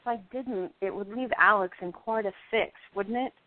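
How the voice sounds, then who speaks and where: A woman's voice: mid-range voice, normal speed, natural voice. A woman acting a jealous, guarded and easily wounded young actress in an amateur radio play. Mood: resigned. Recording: thin, phone-like band-limited audio.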